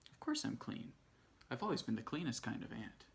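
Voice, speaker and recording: A man voicing a calm, unflappable ant in a storybook, speaking in a nonchalant, matter-of-fact way. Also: medium pitch, fairly quick, vocal fry, breathy edge, full character voice. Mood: proud. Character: calm, unconcerned male ant waking from a nap, proudly unflappable.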